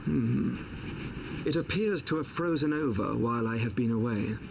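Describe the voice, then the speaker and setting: A man playing a composed, enigmatic fantasy guide in a video game, speaking in a formal, measured way. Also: mid-range voice, creaky, noisy background, close to an everyday voice. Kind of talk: video game cutscene acting.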